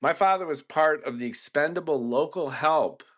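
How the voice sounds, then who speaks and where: A middle-aged man telling a personal family story, slow and deliberate, pausing between phrases. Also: a little high, a bit bright. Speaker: podcast host and storyteller. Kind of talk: reflective first-person storytelling.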